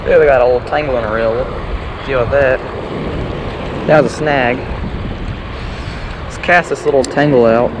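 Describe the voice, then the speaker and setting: A man's voice: mid-range voice, fairly even intonation, normal speed, long pauses, background noise. A man chatting casually and folksily for an outdoor fishing vlog, half to himself, recorded on a body-worn action camera. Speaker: angler vlogger. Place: outdoors on a grassy riverbank.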